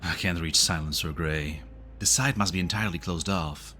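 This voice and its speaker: Casting: male actor for concerned, dutiful staffer, very low bright voice, game dub. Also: moderate pace, a little hiss or hum. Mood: businesslike. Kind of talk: scripted game fan dub acting.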